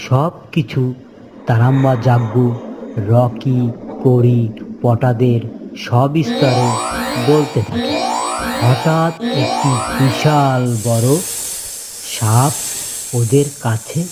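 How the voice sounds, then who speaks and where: A man's voice: mid-range voice, fairly even intonation, slow, long pauses, loud music behind the voice, low-quality recording. A man reading scripted narration for a children's cartoon fairy tale.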